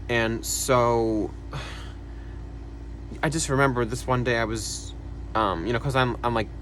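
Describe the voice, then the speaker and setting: A young man talking casually to camera about his own life, soft-spoken and hesitant, with many fillers and quiet sighs. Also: on the low side, a little hiss or hum, rough recording. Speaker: vlogger. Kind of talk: casual personal talk to camera.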